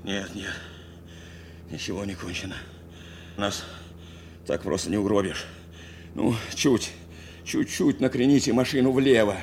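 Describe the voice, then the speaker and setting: An actor playing a steadfast, protective bomber navigator in a war radio drama, speaking with earnest insistence. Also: male voice, lowish voice, fairly even intonation, unhurried, long pauses, a little mellow, a little hiss or hum. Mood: urgent, reassuring. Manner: insistent, repeating short phrases. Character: steadfast male bomber navigator, urging and protective of his commander. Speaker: actor, also narrating in the first person.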